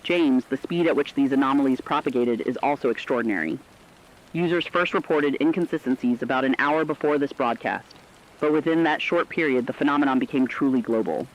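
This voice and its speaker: A man's voice: medium pitch, a little fast, lightly acted. A man playing a serious crisis correspondent in a radio drama, giving formal scripted analysis on a dull, lo-fi recording. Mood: serious, alarmed.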